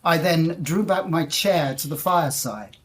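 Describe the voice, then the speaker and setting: A woman acts a confident gentleman traveller in a gothic audio drama. The voice sits a little low, with educated, formal phrasing and a deliberate storyteller's delivery. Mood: reflective storytelling. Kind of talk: period audio drama acting and narration.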